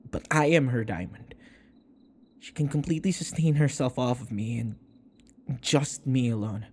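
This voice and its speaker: A man playing a devoted, stubbornly protective servant in an audio roleplay, speaking in earnest, halting pleas. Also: mid-range voice, a little mellow, close to an everyday voice. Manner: earnest pleading, halting with repeated words. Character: devoted young male servant, submissive, stubbornly protective of vampire mistress.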